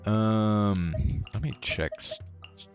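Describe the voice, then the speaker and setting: A man's voice: fairly low pitch, takes it slowly, dull audio, over music. A man streamer giving casual gameplay commentary, muttering to himself as he plays.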